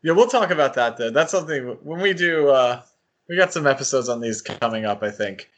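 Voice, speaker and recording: A man's voice: mid-range voice, expressive, brisk, a bit bright, dull audio, slight background noise. A man hosting a podcast in a casual, conversational chat style. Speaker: podcast host.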